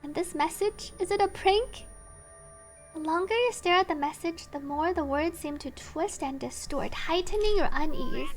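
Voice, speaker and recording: A woman's voice: extremely high pitch, normal speed. A woman livestreaming a video game, chatting casually with viewers and reading on-screen text aloud over game music.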